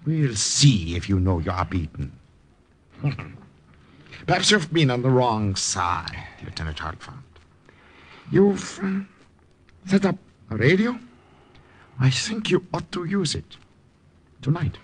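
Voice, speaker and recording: A husky Gestapo commander with a German accent, suave and menacing. His pitch swoops about as he plays the villain in an old-time radio drama. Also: big pitch swings, takes it slowly, long pauses, full character voice. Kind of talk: 1950s radio villain acting.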